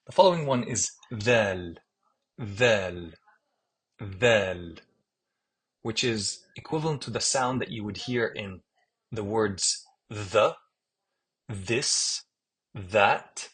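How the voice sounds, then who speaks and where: A man with a Palestinian accent walks through a language lesson at an unhurried pace, saying every letter twice or three times. His voice is a little husky and sits on the low side. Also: expressive, a bit bright. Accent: Palestinian Arabic. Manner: slow and patient, repeating each letter name. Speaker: Arabic teacher. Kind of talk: screen-share alphabet lesson.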